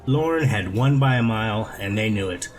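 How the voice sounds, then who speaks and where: A man's voice: lowish voice, expressive, moderate pace, rough recording. A man narrating a scripted story as an audiobook reader.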